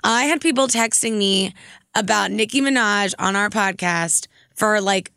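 Raspy woman podcast host. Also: mid-range voice, unhurried, bright, forceful tone, dry recording, studio quality. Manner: enthusiastic, reads listener emails aloud. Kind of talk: casual chat.

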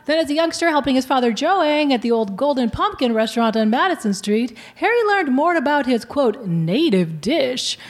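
A woman's voice: high-pitched, animated, moderate pace, hardly any pauses. A woman co-hosting a casual chat, skeptical and chatty, reading aloud from an old column. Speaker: co-host.

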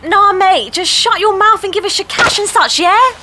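A woman's voice: very high-pitched, moderate pace, background noise. A woman playing an aggressive young male mugger with blunt, slangy menace in a comedy sketch. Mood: threatening.

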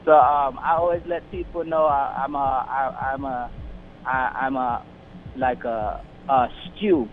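A man's voice: medium pitch, steady tone, a little slow, slight background noise. Man talking over a tinny phone line. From London and the Caribbean. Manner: rambling, many restarts and fillers. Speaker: radio guest, community organization founder. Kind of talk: casual interview over the phone.

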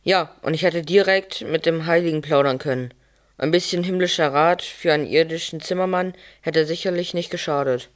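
A man's voice: a little high, moderate pace, lightly acted. A man playing a plain carpenter and new father in a nativity audio play. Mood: enthusiastic.

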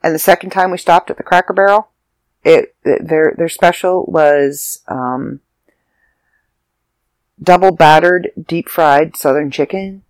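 A stuffy, slightly raspy storyteller rambling through a casual travel story, circling back and correcting themselves as they go. The voice sits in a middling register. It is recorded close and dry. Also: medium pitch, unhurried, dry recording. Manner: chatty, rambling, self-interrupting. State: congested, raspy from allergies. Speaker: vlogger. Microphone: close mic, audio only.

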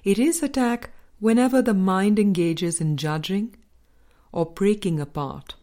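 A woman reading a spiritual book aloud as a scripted audiobook, in a measured, deliberate manner with pauses between phrases. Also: mid-range voice, mellow. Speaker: audiobook reader.

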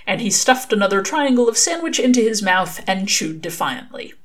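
Female author-narrator, mid-pitched, wry delivery of scripted prose. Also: sing-song, normal speed. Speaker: author reading their own fan fiction.

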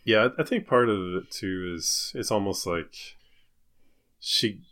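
A man's voice: fairly low pitch, unhurried, a bit bright. A middle-aged man chatting casually as a podcast co-host, opinionated and given to incredulous rhetorical questions. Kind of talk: casual chat.

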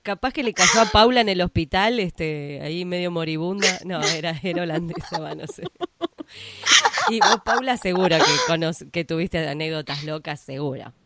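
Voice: medium pitch, moderate pace, fairly bright tone. A speaker in a casual chat, reacting with laughs and joking asides.

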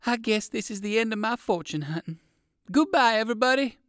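A man voicing a timid, polite old gentleman rabbit in a children's story, hesitant and plaintive. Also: sits high, lively intonation, brisk, smooth, no room sound, clean studio sound, pitched above the performer's own voice. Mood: sad, resigned.

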